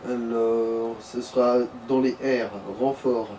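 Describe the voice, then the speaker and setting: A man's voice: mid-range voice, level tone, takes it slowly, mellow, recorded from a distance, poor audio. A man chatting casually as a let's play co-commentator, laid-back and slangy with dry jokes. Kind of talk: casual gameplay chat.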